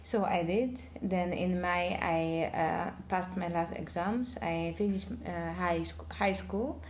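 A woman telling her life story as a guest. Her voice sits on the low side and she often stops to search for the next word. A faint background hum runs under the talk. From Poland. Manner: hesitant, many filler pauses. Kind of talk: casual interview chat.

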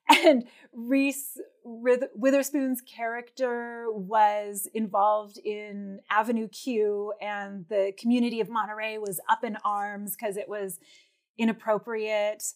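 An American woman hosting an interview in a chatty, informal, conversational way. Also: on the high side. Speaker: interview host.

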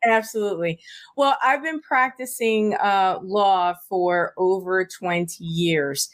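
A woman's voice: medium pitch, slow. A woman in her forties, a native Spanish speaker from Puerto Rico, talking casually as an attorney guest on a podcast, with lots of fillers and restarts. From Puerto Rico, raised in South Florida. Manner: chatty, many fillers and restarts. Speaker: estate planning attorney, guest.

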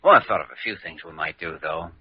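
Médico joven sincero, voz muy grave y ronca, radio antigua apagada. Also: male voice, low voice, expressive, quick pace, slightly rough. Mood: earnest. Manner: earnest, quiet, sincere. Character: earnest young idealistic doctor man. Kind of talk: 1940s radio acting. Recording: muffled old radio broadcast.

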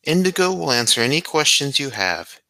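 A man's voice: mid-range voice, big pitch swings, normal speed, pushed and bright, no echo, poor audio. A man playing a middle-aged house mother of a children's home in an audio drama. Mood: accommodating.

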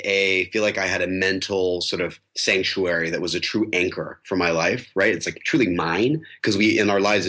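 A man's voice: sits low, sharp tone. A man in his twenties, a podcast guest talking casually and reflectively, with many fillers and restarts. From United States. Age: 20s. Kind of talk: casual interview talk.

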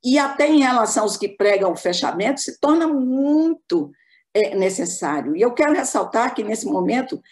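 A Brazilian woman giving a formal, measured academic talk as a panelist on an online call. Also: medium pitch, gravelly, a little mellow.

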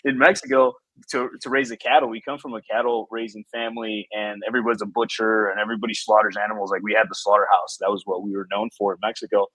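A man's voice: lowish voice, flat delivery, quick pace, a bit bright, dry recording, rough recording. A man in his thirties from Chicago whose first language is Spanish, a former police officer telling loose, profane stories with laughs on a podcast. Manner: laid-back, laughing, profane storyteller. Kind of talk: casual storytelling chat.

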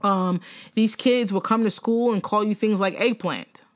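A young woman co-hosting a podcast in casual chat, animated, laughing often and swearing freely. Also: medium pitch, unhurried. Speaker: podcast co-host.

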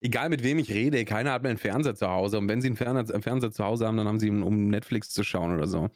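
Young Swabian man, podcast co-host, rattles on quickly in a slightly low voice, lots of "äh", casual chatter. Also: darkish tone, dry recording, clean studio sound. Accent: Swabian German. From Augsburg, Swabia, Germany. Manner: chatty, rambling, lots of fillers and restarts. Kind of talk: casual chat.

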